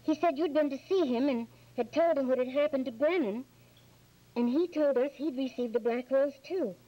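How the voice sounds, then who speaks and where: Woman acting a high-voiced, curious secretary. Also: moderate pace, dark tone, natural voice. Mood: inquisitive. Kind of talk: radio play read from script. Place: function room, live staged reading. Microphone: stand microphone. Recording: dull lo-fi old tape.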